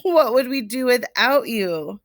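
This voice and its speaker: Woman reading as a fond old elephant, slow and exclaiming. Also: a little high, lively intonation, a little hiss or hum. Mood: delighted, fond. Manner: exclaiming, drawn-out, affectionate. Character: old elephant, fond and delighted by jokes, in a storybook.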